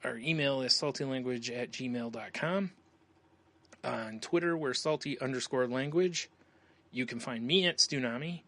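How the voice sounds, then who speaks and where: A man's voice: medium pitch, normal speed, sharp tone. An American man hosting a podcast in a casual, loose, rambling way. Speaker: podcast host. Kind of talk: casual chat, show plugs.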